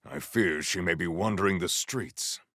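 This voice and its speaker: A man voicing a stoic, honourable warrior in a video game, speaking in formal, stately phrases with a gravelly voice. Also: low voice, sharp tone, dry recording, clean studio sound, heavily acted. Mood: pondering. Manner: formal, stately, measured diction.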